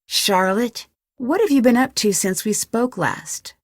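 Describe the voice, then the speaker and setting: An actress with an American accent playing an inquisitive, determined young amateur detective in a video game, speaking clearly and questioningly. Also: female voice, on the high side, a little slow, no room sound, clean studio sound. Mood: curious, probing. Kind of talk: scripted video game dialogue.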